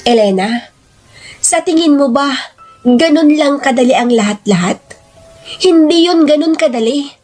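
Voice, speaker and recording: A woman's voice: high-pitched, animated, measured pace, long pauses, a little mellow, soft background music, pitched above the performer's own voice. A woman voicing a lovesick single man, a pushy former boyfriend pleading emotionally in a radio-style story drama. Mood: insistent, hurt.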